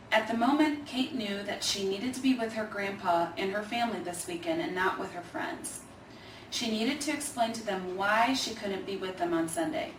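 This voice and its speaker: A woman narrating a children's story, reading from a script. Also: medium pitch. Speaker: storybook reader. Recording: roomy, distant mic, faint noise.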